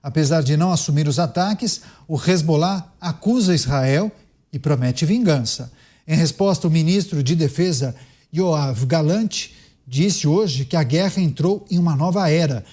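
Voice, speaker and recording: Brazilian male news host, mellow voice. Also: a little high, normal speed. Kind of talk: scripted news reading and panel moderation.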